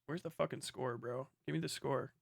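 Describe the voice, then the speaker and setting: A man's voice: mid-range voice, fairly even intonation, normal speed, creaky, dry recording, studio quality. A man chatting casually on a livestream, muttering to himself in slangy, profane language. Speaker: live streamer. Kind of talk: casual livestream chat with bursts of singing.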